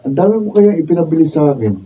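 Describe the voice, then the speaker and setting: A man's voice: a little high, expressive, quick pace, mellow, natural voice. A man acting a patient but exasperated young boyfriend in a Filipino radio drama, protesting in a Filipino accent. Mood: weary, defensive. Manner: pleading, protesting, aggrieved. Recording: distant, dull, noisy behind-the-scenes room recording.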